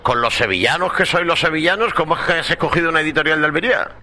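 A man's voice: a little high, lively intonation, racing, sharp tone. A man interviewing a guest on the radio, friendly and teasing, with many short replies.

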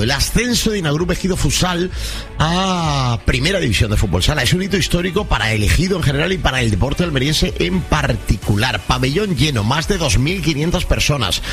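A man's voice: mid-range voice, fairly bright tone. A man with a Spanish accent from Spain hosting live radio in an off-the-cuff, chatty presenting style.

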